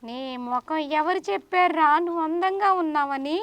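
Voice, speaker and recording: A woman's voice: high voice, normal speed, heavy background noise. A woman voicing an exasperated older sister puppet, teasing and scolding in a children's puppet show.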